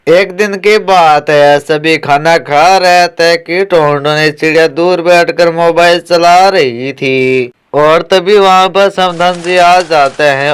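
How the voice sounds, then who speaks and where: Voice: mid-range voice, clear, resonant tone. A narrator reading a scripted cartoon story.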